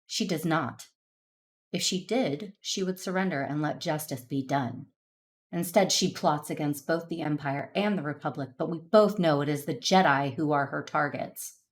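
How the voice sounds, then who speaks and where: A woman playing a solemn, conflicted but resolute Jedi warrior in an audio drama, speaking in a measured, earnest way. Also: sits low, lightly acted. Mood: guarded, tense. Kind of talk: first-person narration and dialogue in audio drama.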